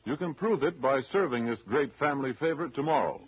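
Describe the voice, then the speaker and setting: A man's voice: medium pitch, expressive, fast talker, husky. A man reading a scripted advertisement as a 1930s radio announcer. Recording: dull 1930s radio recording.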